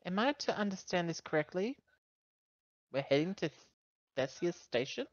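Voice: mid-range voice, animated, moderate pace, no echo, muffled recording, voice pushed up, lightly acted. A player voicing a wry, argumentative woman who is acting captain of a spaceship in a tabletop game, informal and sarcastic.

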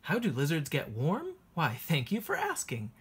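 A woman teacher reading a children's picture book aloud in an expressive, sing-song way, with a husky voice. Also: deep voice, moderate pace.

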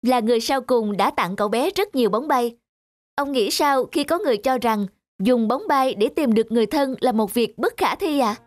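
A woman's voice: a little high, lively intonation, moderate pace, lightly acted. A woman voicing a formal, heartfelt television reporter in a cartoon, delivering a news report. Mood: formal, inquiring. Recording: music behind the voice.

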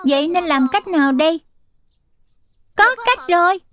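A woman voicing a small magical lion spirit, a bossy but helpful big brother in a dubbed cartoon, brisk and instructive. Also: very high voice, a bit bright.

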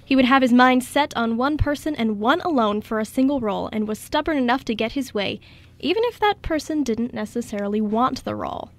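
A woman narrates a radio drama from a script. Her voice is on the high side, and she reads as a storyteller between the characters' lines. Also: a little fast, no room sound.

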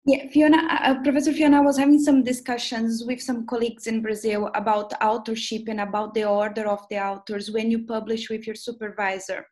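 Woman, seminar participant, polite, careful, slightly high voice, video call. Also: level tone, a little fast, few pauses, darkish tone. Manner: polite, careful, explains at length. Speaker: seminar participant asking a question.

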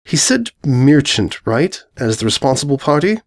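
A man's voice: mid-range voice, sing-song, moderate pace. A man voicing a solemn, regal female pony in a storybook reading, with formal, thoughtful phrasing. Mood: thoughtful command.

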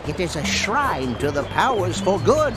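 A man's voice: high-pitched, very animated, measured pace, over music. An actor playing a wise old male crab elder who speaks ceremoniously and portentously in a video game. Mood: solemn. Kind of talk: video game cutscene acting.

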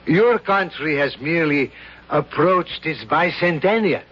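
An actor playing a formal, polished foreign grand duke in a 1970s radio drama, with ceremonious phrasing, on a dull vintage broadcast recording. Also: male voice, fairly high pitch, expressive, a little slow, husky.

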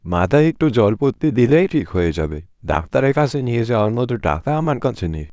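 A man's voice: medium pitch, very animated, brisk, a little mellow, no echo, lightly acted. A man playing a stingy village farmer and father in a cartoon fairy tale, with a dramatic, whiny manner. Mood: dismissive.

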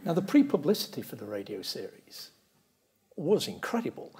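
Guy interviewer, middle-aged, really husky voice, goes up and down a lot. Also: mid-range voice, huge pitch swings, moderate pace, darkish tone. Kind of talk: on-stage interview. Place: large lecture theatre stage.

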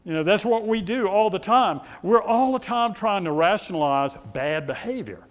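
A middle-aged man with a loud, carrying voice, preaching a sermon in a church sanctuary. He paces and gestures and asks the congregation rhetorical questions. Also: sits high, animated, normal speed, big-room sound. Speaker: pastor.

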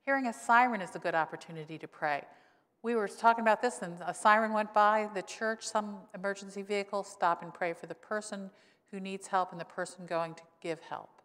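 A woman's voice: fairly even intonation, brisk, a bit bright. A woman in her sixties, a pastor, preaches a conversational sermon with wry humor from a church pulpit microphone. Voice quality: voice grown lower since illness, she says. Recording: long reverb of a church sanctuary.

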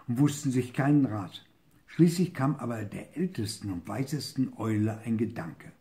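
Older man, storyteller, fairy-tale reading, mellow relaxed tone, deliberate, dramatic pauses, expressive. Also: on the low side. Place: book-lined living room, seated in an armchair.

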